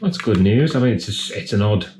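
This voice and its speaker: Low-voiced man thinking aloud, noisy background. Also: expressive, fast talker. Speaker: electronics repair technician presenting a repair. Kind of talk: casual hands-on repair commentary.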